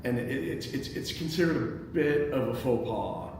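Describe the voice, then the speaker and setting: A man's voice: mid-range voice, sing-song, moderate pace, a little mellow. Echoey man hosting a table read. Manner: hesitant, repeats words while thinking aloud. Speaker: host and stage-direction reader of a screenplay table read. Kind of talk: reading action lines and giving feedback to a group. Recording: very echoey hall, distant mic, noisy.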